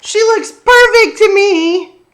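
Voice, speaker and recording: A woman's voice: very high voice, slow pace, a bit bright, pitched above the performer's own voice, exaggerated voice. A woman voicing an earnest, spirited little girl in a storybook, speaking emphatically and devoted to her pet squash. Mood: indignant. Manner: emphatic, exclaiming.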